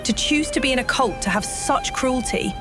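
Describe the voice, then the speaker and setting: A woman's voice: sits high, wildly swooping pitch, moderate pace. A woman playing a loyal, defiant adventurer in a fantasy game, blunt and sardonic. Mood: sardonic, disgusted. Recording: music playing behind the voice.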